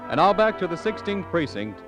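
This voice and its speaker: Animated vintage male radio announcer. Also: sits high, fairly quick, over loud music. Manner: polished, emphatic. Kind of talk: 1940s radio announcing, scripted promotion.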